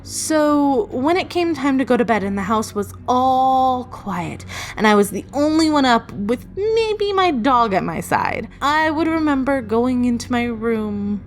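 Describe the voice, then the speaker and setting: A woman telling a personal story in a casual, informal way, with dramatic pauses for suspense and acted-out thoughts. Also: on the high side. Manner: casually sweary. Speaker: storyteller, video creator. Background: music.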